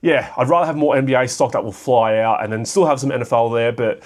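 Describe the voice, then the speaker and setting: This is a young Australian man whose voice is raspy and a little worn from a cold. He talks at a middling pitch in a loose, rambling way, full of "uh" and "um" as he works through his thoughts out loud. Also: fast talker. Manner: rambling, filler-heavy, thinking aloud. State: hoarse from a cold. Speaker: card shop vlogger. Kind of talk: casual solo commentary.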